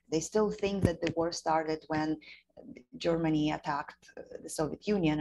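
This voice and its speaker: A woman's voice: low voice, gravelly, darkish tone, breathy edge, poor audio. A woman in her forties from eastern Ukraine, a native Russian speaker with a Ukrainian accent, earnestly explaining her views over a video call with earphones. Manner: earnest, explanatory, with frequent restarts and "you know". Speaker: filmmaker and journalist guest. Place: indoors at home. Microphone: video call webcam with earphones.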